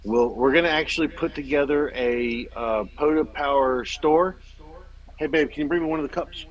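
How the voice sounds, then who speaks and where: A man's voice: on the high side, moderate pace, muffled recording. A man in casual livestream chat as a guest, speaking in an informal, chummy, enthusiastic way.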